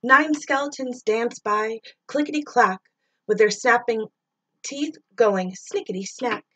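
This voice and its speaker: A middle-aged woman reads a children's rhyming story aloud in a fairly high voice. She takes it slowly, stretching words and playing up the sound effects as a teacher does for young pupils. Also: a bit bright, muffled recording. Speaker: school teacher reading to pupils. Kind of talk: expressive read-aloud of a rhyming children's picture book.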